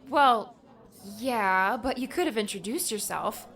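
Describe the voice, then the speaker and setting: A woman's voice: fairly high pitch, measured pace, a bit bright. A woman voicing an earnest, hesitant young adventurer in conversational audiobook dialogue. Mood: questioning.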